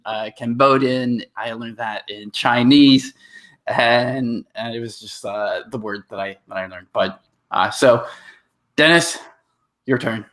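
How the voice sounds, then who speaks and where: A man's voice: medium pitch, takes it slowly, fairly bright tone. Hesitant French-speaking male host. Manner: hesitant, many fillers and restarts. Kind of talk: hosting a live training session.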